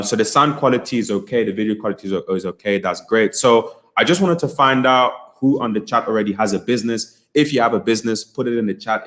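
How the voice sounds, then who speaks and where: Brief: young British man, lowish voice, chatty livestream host, upbeat and encouraging. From United Kingdom. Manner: chatty, encouraging, repeats phrases, frequent fillers. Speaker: business coach hosting a livestream. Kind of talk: casual livestream talk to chat.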